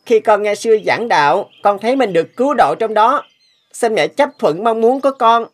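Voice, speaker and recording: A woman's voice: fairly high pitch, animated, normal speed. A woman playing an earnest, respectful young boy in a cartoon. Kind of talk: cartoon dubbing.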